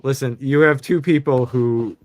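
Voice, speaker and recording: A man leads a casual chat about collectible figures. He talks in a relaxed, meandering way, teasing his co-hosts along the way. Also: medium pitch, normal speed, no room sound. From Chicago suburbs. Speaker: podcast co-host.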